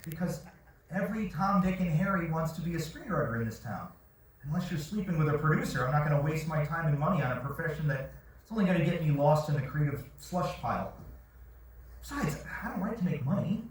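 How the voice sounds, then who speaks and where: A man's voice: a little high, expressive, brisk, natural voice. A man on stage playing a wry, earnest fiction writer who gets exclamatory and defensive, heard in a very echoey theatre from far off. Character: earnest, sarcastic male fiction writer, exasperated by bad dates. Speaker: actor in a staged reading. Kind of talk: theatrical stage monologue and dialogue. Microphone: far shaky audience camera. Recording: very echoey theatre, distant mic, faint noise.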